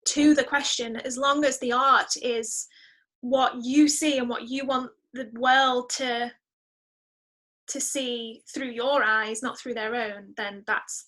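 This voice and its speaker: A woman's voice: fairly high pitch, bright, forceful tone, low-quality recording. A woman talking casually as an interview guest, reflective and enthusiastic as she thinks through her answers.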